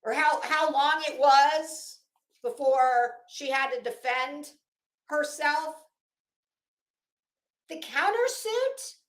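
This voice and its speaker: A woman giving a casual, blunt, profane commentary to viewers, speaking emphatically and haltingly with drawn-out words. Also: sits high, expressive. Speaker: online commentary host. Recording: roomy echo.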